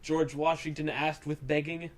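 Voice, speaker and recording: A man's voice: fairly high pitch, flat delivery, moderate pace, slight background noise. A man giving a mock-serious, theatrical comedic reading of a story as narrator. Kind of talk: dramatic comedic reading of fan fiction. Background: music.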